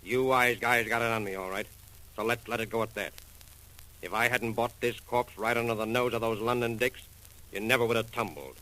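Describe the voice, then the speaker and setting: A man's voice: medium pitch, fairly even intonation, fairly quick, sharp tone, natural voice, rough recording. A man playing a defiant, sneering crook with surly tough-guy talk in a 1940s radio crime drama.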